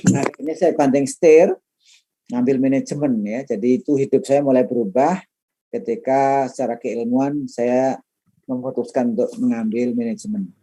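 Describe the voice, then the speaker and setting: The mellow-voiced older man at the front of the first class of term, chatting with students about himself before the syllabus starts. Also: medium pitch, normal speed. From Madiun, East Java, Indonesia. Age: middle-aged, late 50s. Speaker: lecturer and trainer. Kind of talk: informal class introduction, chatty autobiographical talk.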